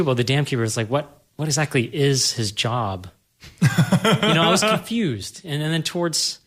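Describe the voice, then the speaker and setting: A man explaining and discussing film in a casual panel conversation. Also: medium pitch. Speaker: panel guest explaining animation.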